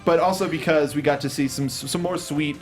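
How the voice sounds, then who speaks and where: A man's voice: fairly high pitch, steady tone, brisk, faint music behind. A man hosting a casual panel chat about movies, jokey and given to quoting lines in mimicked voices. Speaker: host of a film review show.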